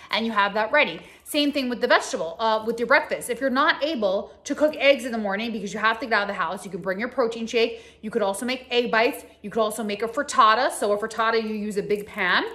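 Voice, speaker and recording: A woman's voice: on the high side, fairly bright tone. A woman in her thirties talking straight to camera as a health coach, casually instructing in a conversational, emphatic way in a home kitchen. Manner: conversational, emphatic, addresses the audience directly. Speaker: health coach and video host.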